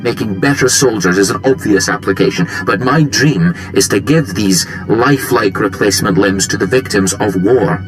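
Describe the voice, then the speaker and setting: Male actor as idealistic scientist, video game, lowish pitch, earnest, gentle, pleading, background music. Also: lightly acted, low-quality recording. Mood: passionate.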